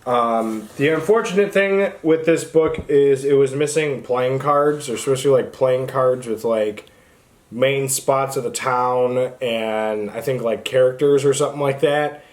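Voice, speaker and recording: A man with an average-pitched voice talks as the host of a book channel. His delivery is informal and conversational, wandering a little as he goes, like someone chatting straight to viewers. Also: unhurried. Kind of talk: casual book chat to camera.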